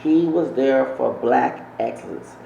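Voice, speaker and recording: A man's voice: mid-range voice, measured pace, creaky, dark tone, roomy sound, muffled recording, slight background noise. A man hosting his own channel, giving rambling, opinionated casual commentary that keeps correcting itself. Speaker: channel host.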